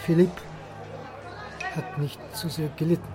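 An actor as a formal judge, higher-pitched. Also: male voice, flat delivery, a little slow, over music, close to an everyday voice. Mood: polite, subdued. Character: formal, polite, subdued judge, a bereaved father. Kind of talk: radio play acting. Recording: busy outdoor crowd behind.

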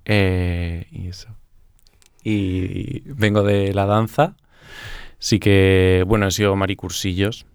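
Young man, smooth resonant voice, low-pitched, chatty with fillers, dry close sound. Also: little pitch movement, slow, a little mellow, dry recording. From Murcia, Spain. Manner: chatty, laughs often, many fillers. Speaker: guest choreographer. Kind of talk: casual interview talk.

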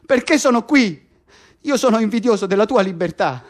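A man's voice: sits high, expressive, normal speed. An actor playing a bitter, despairing political prisoner in intense naturalistic radio theatre, insistent and repetitive as he addresses his cellmate. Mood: angry, desperate.

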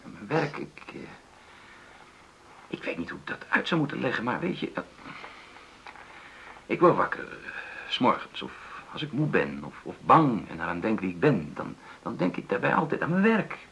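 An actor in a radio drama playing an earnest, conciliatory middle-aged husband who stammers and searches for words in a creaky voice. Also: male voice, mid-range voice, big pitch swings, normal speed, a bit bright, slight background noise. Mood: insistent. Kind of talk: naturalistic radio drama dialogue.

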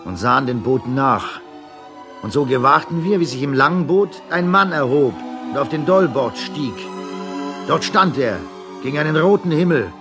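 An actor playing a shipwreck survivor who dramatically recounts being abandoned at sea. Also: male voice, fairly high pitch. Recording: music behind the voice.

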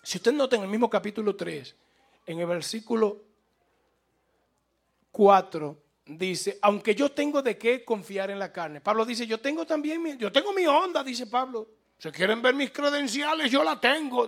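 A man's voice: high-pitched, a bit bright. A man preaching a fervent sermon as a radio preacher. He stresses points by repeating them and calls on listeners to respond. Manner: fervent and emphatic, with repetition and rising exhortation. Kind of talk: evangelical sermon.

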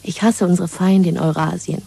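A woman's voice: lowish voice, fairly even intonation, moderate pace, vocal fry, mellow, husky. An actress in a German radio drama playing a bold, secretive young woman in love, half-whispering in a cool, intimate, knowing way. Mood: cool, teasing.

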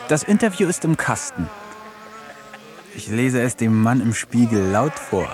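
A man's voice: medium pitch, very animated, a little slow. A man playing a lonely, sardonic village eccentric who reads his diary in a dry radio-play narration with mocking asides. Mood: wry. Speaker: narrator and lead.

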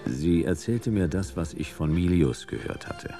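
A laconic private eye in a radio crime play. His very deep, gravelly voice rises and falls freely. He delivers short, dry, wry sentences. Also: expressive, unhurried, music in the background. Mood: dry, wry narration. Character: laconic, wry hard-boiled male private detective narrating his case. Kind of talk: radio crime drama narration and dialogue.

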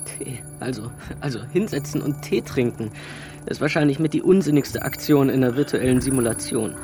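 An actor playing a sarcastic, impatient young slacker in a German science fiction radio play, with a flippant, slangy delivery. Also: male voice, medium pitch, normal speed, over music, natural voice. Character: Jeremy, sarcastic impatient slacker young man trapped in simulation.

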